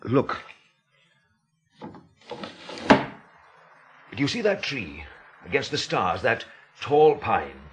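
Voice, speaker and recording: An actor playing a sceptical, uneasy gentleman in an audio drama, with a husky voice and a halting, intense delivery. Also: medium pitch, huge pitch swings, a little hiss or hum, exaggerated voice. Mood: haunted. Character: sceptical, uneasy male gentleman named Lewis.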